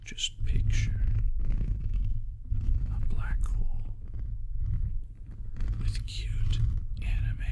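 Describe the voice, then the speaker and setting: A man whispering softly in a casual, unhurried ASMR chat with viewers, close to the microphone. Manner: soft whispering and murmuring, unhurried. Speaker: ASMR live streamer.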